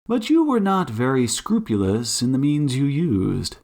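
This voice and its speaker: A suave, commanding detective, acted by a man, speaks slowly with wide, theatrical swings in pitch. He is shrewd and politely condescending, with a mocking edge, as in a dramatized audiobook. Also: huge pitch swings, darkish tone. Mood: stern, accusing. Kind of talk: audiobook character acting.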